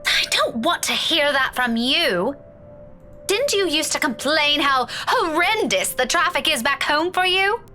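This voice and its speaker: A woman's voice: sits high, expressive, a little slow, bright, forceful tone, soft background music, exaggerated voice. A woman playing a prickly, impatient teenage girl in a visual novel, curt and irritable. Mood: irritated.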